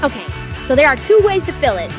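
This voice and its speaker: An earnest kid club leader voiced by a woman, proud and eager to explain. The cartoon-dub voice is extremely high, with music clearly behind it. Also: big pitch swings, quick pace. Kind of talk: amateur comic dub acting. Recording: music under the voice.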